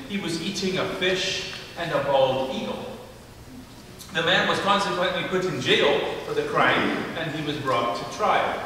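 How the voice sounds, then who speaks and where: Bright-voiced pastor in an echoey church. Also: male voice, a little high, a little hiss or hum. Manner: measured, reads scripture then tells a story with animated character voices. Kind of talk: sermon with a told joke. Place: large church sanctuary, speaking from a pulpit. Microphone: pulpit mic, camera at the back. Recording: echoey church hall from far off.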